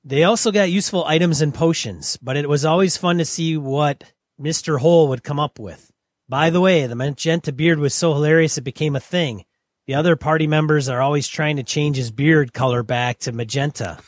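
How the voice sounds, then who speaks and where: Playful man with a higher voice. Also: a little fast, no echo, studio quality. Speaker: podcast co-host. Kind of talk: casual podcast chat.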